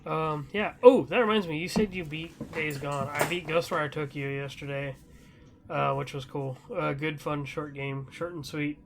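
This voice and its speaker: A man's voice: a little high, fairly even intonation, normal speed, creaky. A man hosting a podcast, chatting casually about gaming news in a rambling, loosely conversational way. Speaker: podcast host.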